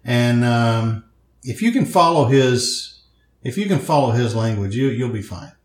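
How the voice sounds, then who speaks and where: Northern Louisiana man, joking guest, mid-pitched voice, roomy echo. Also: expressive, measured pace. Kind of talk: casual interview chat.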